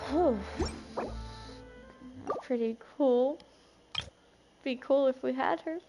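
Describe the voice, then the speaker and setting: A woman with a high voice streams a game over prominent music. She talks at a slow pace in a casual way, with a dramatic, excitable manner. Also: lo-fi sound. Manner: excitable, dramatic, pleading exclamations. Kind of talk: live gameplay commentary, casual chat.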